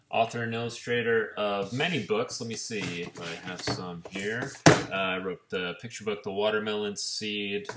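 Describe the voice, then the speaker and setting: A man's voice: on the low side, noisy background. A man in his thirties chatting casually to a laptop webcam from a living room, talking as an author. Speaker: children's author and illustrator. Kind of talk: casual chat to camera. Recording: echoey room.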